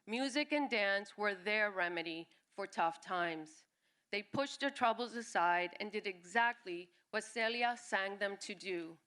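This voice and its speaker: A woman raised in New York City reads her personal essay to a live audience. Her voice is bright and forward, sitting at a middle pitch. She reads expressively and shifts into quick character voices for family members she quotes. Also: expressive. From born in Cuba, raised in New York City. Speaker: writer reading her own essay. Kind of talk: reading a personal essay at a live storytelling event. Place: live reading at a music venue.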